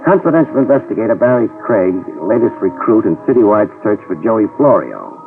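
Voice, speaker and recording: An actor in a 1950s radio detective drama playing a tough, wisecracking middle-aged private eye who narrates in dry hard-boiled style. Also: male voice, fairly low pitch, big pitch swings, fairly quick, gravelly. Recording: tinny lo-fi 1950s radio with music cues.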